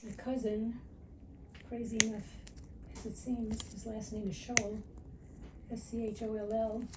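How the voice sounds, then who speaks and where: A woman's voice: a little high, little pitch movement, measured pace. Chatty older woman from New York. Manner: chatty, curious. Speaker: patient. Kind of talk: casual chat. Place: podiatry clinic treatment room. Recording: distant mic in a noisy clinic.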